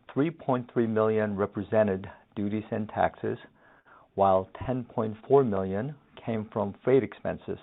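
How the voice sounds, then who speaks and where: A man's voice: fairly low pitch, gravelly. A man working as a finance executive, reading a scripted financial presentation on an earnings call over a narrow phone line.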